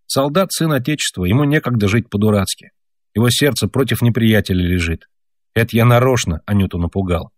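A man's voice: lowish voice, a little fast, no room sound, studio quality, lightly acted. A man voicing an earnest young peasant boy who lectures his parents and tells a long story. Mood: storytelling.

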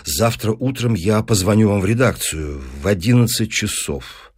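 A man voicing an anxious, doubtful professor in an audiobook, speaking quietly and haltingly. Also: lowish voice, animated, husky. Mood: anxious, hesitant. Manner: halting, quiet, heavy-hearted. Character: anxious, hesitant male professor, subdued and doubtful. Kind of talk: audiobook character dialogue.

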